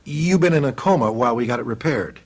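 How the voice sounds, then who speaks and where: An actor playing a fussy, apologetic official who collects the dead, chatty and genial in a radio mystery drama. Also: male voice, mid-range voice, lively intonation, fast talker, lightly acted.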